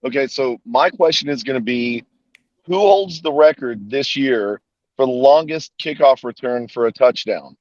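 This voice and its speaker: Dry, dull-mic co-host hyping fans. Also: male voice, medium pitch, normal speed, darkish tone, dry recording. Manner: rallying, hype-up encouragement. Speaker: podcast co-host. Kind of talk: casual fan podcast chat.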